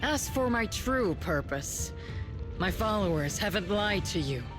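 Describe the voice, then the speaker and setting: An actress voicing a cold, scheming female colonel who leads a cult in secret, speaking in measured, accusing lines in a game cutscene. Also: mid-range voice, lively intonation, over music, natural voice. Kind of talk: video game cutscene dialogue.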